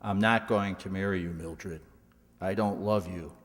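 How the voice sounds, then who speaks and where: A man playing a cold, calculating college professor in a radio mystery drama, speaking in a measured, formal, evasive way. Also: sits low, fairly even intonation. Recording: reverberant hall stage recording.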